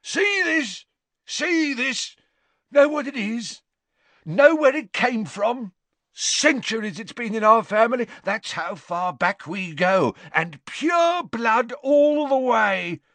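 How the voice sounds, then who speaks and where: A man's voice: high-pitched, wildly swooping pitch, measured pace, fairly bright tone, no room sound, voice pushed up, heavily acted. A man voicing a belligerent, sneering old wizard father in an audiobook, bellowing and jeering. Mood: boastful fury.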